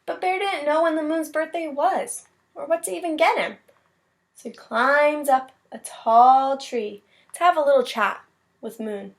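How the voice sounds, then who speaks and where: Higher-voiced woman teacher reading aloud. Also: measured pace. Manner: simple explaining aimed at young children. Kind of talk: storybook read-aloud with explanatory asides.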